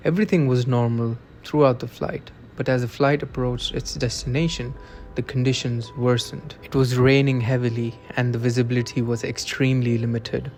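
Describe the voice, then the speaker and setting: A male documentary narrator with a flat, steady delivery and a mid-range voice. He reads from a script, with faint music running underneath. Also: medium pitch, normal speed, hardly any pauses.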